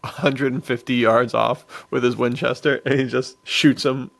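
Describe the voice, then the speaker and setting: A man co-hosting a podcast in loose, casual conversation. His voice sits at an average pitch and is thick with vocal fry. He talks in a laid-back, slangy way, as if chatting with a buddy. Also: level tone, a little slow. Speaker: podcast co-host. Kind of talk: casual chat about western films.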